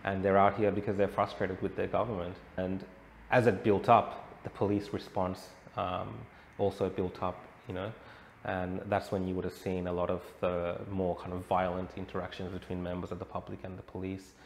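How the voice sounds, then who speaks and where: A man's voice: sits low, flat delivery, moderate pace. An Australian man answering as an interview guest in a conversational way, often adding "you know". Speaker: interview guest, protest reporter. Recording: roomy echo, clear background noise.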